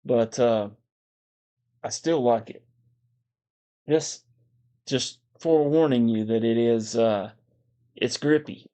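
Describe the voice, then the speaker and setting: A man with a calm, soothing voice, hosting a casual livestream, reading viewer comments in a relaxed, meandering way. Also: medium pitch, slow, pauses often. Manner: relaxed, meandering, frequent fillers and soft chuckles. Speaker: livestream host.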